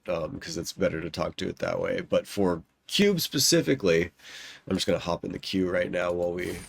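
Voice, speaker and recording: A man's voice: sits low, gravelly. A young man with a stuffed-up nose chatting casually while he plays, rambling and often restarting his sentences. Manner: rambling, self-correcting, lots of ums and likes. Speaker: gaming YouTuber. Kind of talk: casual commentary while drafting a card game.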